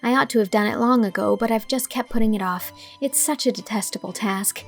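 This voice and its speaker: A woman voicing an earnest, impulsive young schoolteacher in audiobook dialogue, eager and high-minded. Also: a little fast, faint music behind. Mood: virtuous, eager.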